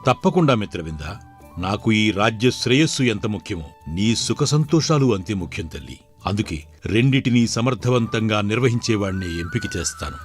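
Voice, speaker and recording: A man's voice: on the low side, lively intonation, normal speed, close to an everyday voice. A stately older storybook king, acted by a man, speaks with fatherly authority. His formal lines rise and fall expressively over faint music. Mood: fatherly, tender. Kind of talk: dramatized Telugu moral story.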